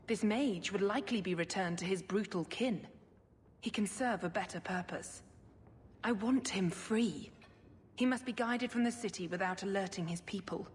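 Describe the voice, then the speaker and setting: Formal, secretive sister in a reverberant hall voiced by an actress. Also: female voice, mid-range voice, close to an everyday voice. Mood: solemn, insistent. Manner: formal, measured, clipped. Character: secretive, pious middle-aged sister scheming with cool formality. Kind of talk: video game voice acting. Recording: long hall reverb, clear background noise.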